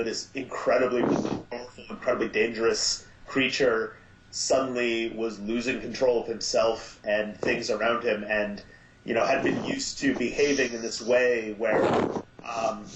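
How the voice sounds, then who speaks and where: A man's voice: mid-range voice, background noise. A man chatting casually on a podcast, musing at length with many restarts and fillers. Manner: thoughtful, rambling with many restarts. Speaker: podcast guest. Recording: roomy home podcast audio.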